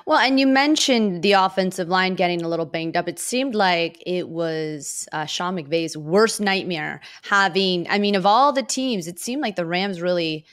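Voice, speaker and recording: A woman with a slightly low voice and an American accent hosts a sports podcast. Her interviewing is conversational and halting, as she rewords her questions while she goes. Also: a bit bright, smooth.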